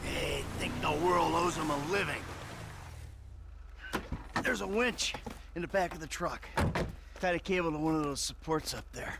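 An actor playing a gruff, profane, sarcastic middle-aged American retired criminal and father in a video game cutscene. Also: fairly high pitch, normal speed, pauses often. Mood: bitter, sarcastic. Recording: noisy vehicle ambience behind voice.

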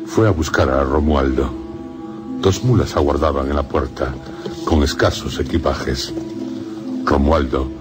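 Male narrator for radio drama: Castilian accent, extremely low, solemn, music underneath. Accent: Castilian Spanish. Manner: solemn, measured phrasing.

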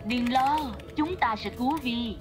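A woman's voice: fairly high pitch, measured pace, lightly acted. A woman voicing a confident, breezy big-sister leader in a dubbed cartoon. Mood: breezy, reassuring. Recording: music under cartoon dialogue.

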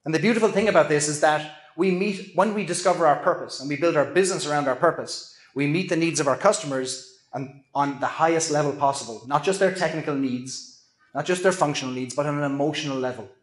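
A middle-aged man giving a conference keynote, earnest and measured, with self-deprecating asides. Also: on the high side. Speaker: keynote speaker. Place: large event hall with an audience. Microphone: handheld microphone at a lectern. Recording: echoey hall.

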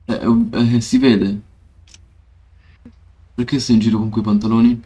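A man's voice: lowish voice, little pitch movement, a little slow, mellow, slightly rough. A man casually bantering and trolling as he plays an online game, mock-scolding in slangy speech. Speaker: streamer playing and trolling on a roleplay server.

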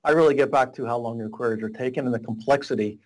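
A man's voice: mid-range voice, fast talker, low-quality recording. Middle-aged man explaining on a video call. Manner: rambling, restarts, gestures a lot while explaining. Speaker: guest, data warehouse architect. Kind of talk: casual explanatory interview. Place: small office room with whiteboard. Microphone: video call webcam.

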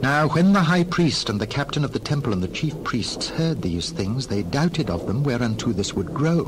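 A man narrating dramatized scripture in a formal, expressive storytelling manner. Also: mid-range voice, normal speed, background noise.

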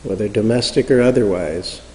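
Guy doing guided prayer meditation, soft dark tone, measured w long pauses, lots of reverb. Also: on the low side. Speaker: meditation leader. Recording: reverberant hall sound.

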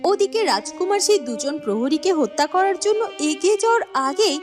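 A woman reads scripted fairy-tale narration in a very high voice. Her intonation swings widely, and music plays clearly behind her. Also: very animated, fairly quick. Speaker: story narrator. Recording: music bed under narration.

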